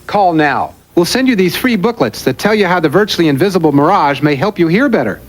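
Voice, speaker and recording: A man's voice: high voice, expressive, a little fast, poor audio. An American man narrating a scripted commercial as an announcer.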